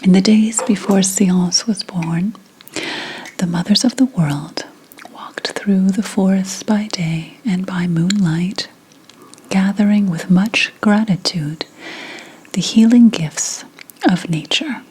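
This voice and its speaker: A woman playing a mystical, brooding 17th century witch healer in a breathy, whispered ASMR roleplay, telling things solemnly with deliberate pauses. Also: mid-range voice, mellow, a little hiss or hum, lightly acted, low-quality recording. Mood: reverent, storytelling.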